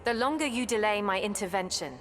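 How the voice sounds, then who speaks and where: A woman's voice: medium pitch, lively intonation, brisk, natural voice. A woman playing a fierce, ruthless warrior regent in a video game, with a hard, commanding delivery. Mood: impatient. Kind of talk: scripted video game dialogue.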